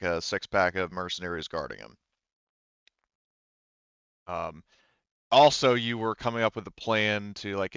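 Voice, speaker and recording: A low, smooth-voiced man runs a tabletop roleplaying game as game master. He talks casually and haltingly, and the dry recording is slightly dull. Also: a bit bright, no room sound. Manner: halting, hesitant.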